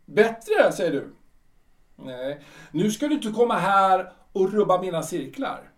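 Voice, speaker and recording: A man's voice: high voice, sing-song, recorded from a distance. A man playing a proud, brooding retired official in his sixties in a radio drama, speaking theatrically and repeating himself emphatically. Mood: indignant. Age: older. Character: proud, brooding 62-year-old retired official clinging to memories.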